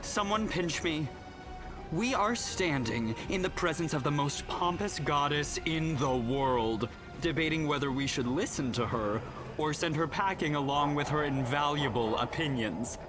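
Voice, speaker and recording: Sarcastic, flippant adventurer over music. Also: male voice, fairly high pitch, expressive, a little slow. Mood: sarcastic, amused. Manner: wry, florid sarcasm. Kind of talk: video game voice acting.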